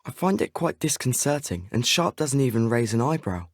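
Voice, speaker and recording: A man's voice: medium pitch, big pitch swings, normal speed, dry recording, clean studio sound. A man voicing an indignant, articulate schoolboy wizard in a video game, fussy and aggrieved. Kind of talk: video game character dialogue.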